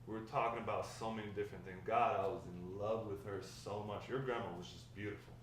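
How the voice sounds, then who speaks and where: A man playing a grandfather who fondly recounts his wild, lovestruck youth in a halting table read. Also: on the low side, steady tone, close to an everyday voice. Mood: fond, nostalgic. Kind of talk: table read of a dramatic script. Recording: echoey room, distant mic, faint noise.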